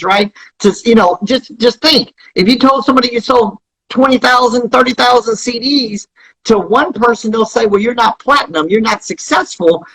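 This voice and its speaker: A man's voice: very high voice, fairly bright tone, husky, rough recording. A man giving promotional interview talk as a company founder, speaking earnestly and repeating points for emphasis. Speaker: guest, company founder promoting his service.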